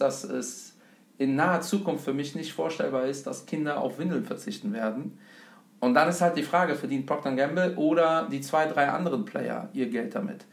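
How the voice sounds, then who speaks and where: A man from Germany explaining investing in a casual conversation, with many fillers and examples. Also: medium pitch. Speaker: investment speaker, guest. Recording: roomy echo.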